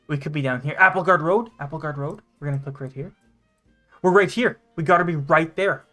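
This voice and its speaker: A young man giving excitable, sweary, casual commentary as he plays a game. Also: on the high side, brisk, faint music behind. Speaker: gaming channel host.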